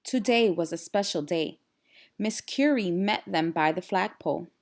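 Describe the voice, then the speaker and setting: A woman's voice: lowish voice, lively intonation, a little slow. A woman reading a children's storybook aloud expressively, with explanatory asides to young listeners. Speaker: read-aloud storyteller and teacher.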